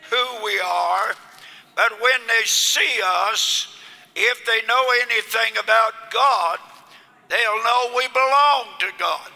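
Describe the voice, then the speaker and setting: Slow hoarse preacher with gravelly voice. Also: male voice, very high voice, big-room sound. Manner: slow, drawn-out delivery rising into shouts. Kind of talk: sermon.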